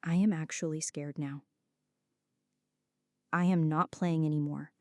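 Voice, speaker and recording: A woman playing a flirty, anxious female friend in an intimate ASMR roleplay, speaking softly and dramatically, close to the listener. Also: deep voice, fairly even intonation, measured pace, no room sound. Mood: scared, pleading. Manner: soft, close, dramatic pleading and confiding.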